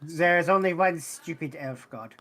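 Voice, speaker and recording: A man voicing a blunt robot shopkeeper in a tabletop game, curt, deadpan and dismissive of organic beings. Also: on the high side, measured pace. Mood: impatient, scornful.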